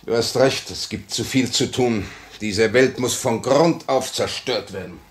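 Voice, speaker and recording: An actor playing a bitter, hardened revolutionary ex-convict in 1950s German radio drama, with a fierce, declamatory intensity. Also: male voice, mid-range voice, a little slow, close to an everyday voice. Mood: tense, businesslike.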